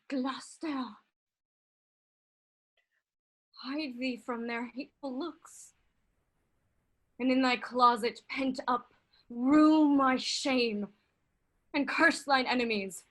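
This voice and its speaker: A woman playing an ambitious, defiant noblewoman who conjures spirits, speaking deliberate, incantatory verse in a staged play reading. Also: high voice, steady tone, exaggerated voice. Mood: bitter, ashamed. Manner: deliberate, incantatory, swinging between hushed and declaimed.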